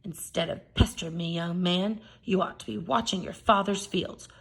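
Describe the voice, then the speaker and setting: A woman voicing a sly, grumpy tiny old leprechaun man from a storybook, with a sharp, scolding, whining manner. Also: on the low side, fairly even intonation, normal speed, creaky, breathy edge, rough recording. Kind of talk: storybook character voice.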